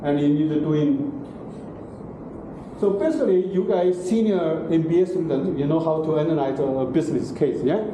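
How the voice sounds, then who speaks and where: Lecturer echoing in a classroom. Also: male voice, on the high side, moderate pace. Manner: broken English, frequent "okay?" and "right?" check-ins. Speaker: university lecturer. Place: large classroom. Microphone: far room camera. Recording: very echoey classroom from far off, noisy.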